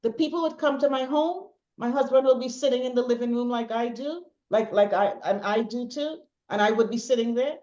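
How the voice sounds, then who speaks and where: Middle-aged female interview guest, chatty and emphatic, repetitive phrasing, muffled low-fidelity audio. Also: fairly quick, few pauses, mellow. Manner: talkative, emphatic, repeats phrases for stress. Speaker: interview guest, bereaved mother. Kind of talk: personal testimony in conversation.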